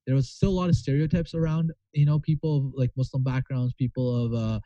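An earnest young man from Pakistan tells his story in a casual interview, rambling and restarting as he goes. His voice is mellow and dark. It is recorded close and dry, with a dull, muffled sound. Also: medium pitch, fairly even intonation, brisk, no echo. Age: young adult. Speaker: guest.

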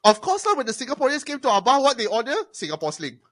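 A young Malaysian man with a Malaysian English accent, chatting casually on a podcast as an animated, profane storyteller who mimics customers. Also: very high-pitched, racing. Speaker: podcast guest, former bartender and film reviewer.

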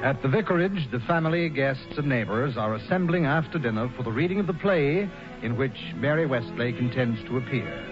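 Male narrator, medium pitch, formal 1940s radio drama, music bed, lo-fi sound. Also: expressive, fairly quick. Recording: muffled 1940s radio recording. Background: orchestral music.

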